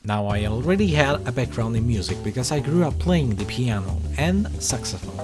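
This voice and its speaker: A man's voice: medium pitch, animated, moderate pace, music in the background. A man hosting a comedic scripted video essay, talking in a sarcastic, self-deprecating and theatrical way. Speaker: YouTube creator and host.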